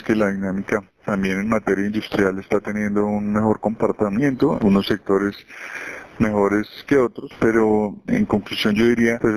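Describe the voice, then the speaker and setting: Man, lowish flat voice, financial analyst, explanatory conference call briefing, repeats words. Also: poor audio. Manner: explanatory, unscripted, repeats words while phrasing.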